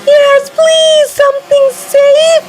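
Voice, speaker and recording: A woman's voice: very high-pitched, lively intonation, a little slow, a little hiss or hum, pitched above the performer's own voice, poor audio. A woman reading aloud and voicing a timid female wish-granting rock spirit that frets, quavers and frightens easily. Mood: frightened.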